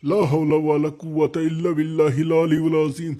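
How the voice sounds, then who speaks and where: A man's voice: fairly high pitch, completely flat delivery, fairly quick, mellow, close to an everyday voice. A man playing a concerned village elder, an uncle leading a funeral in a moral cartoon story, deliberating as he talks. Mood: astonished.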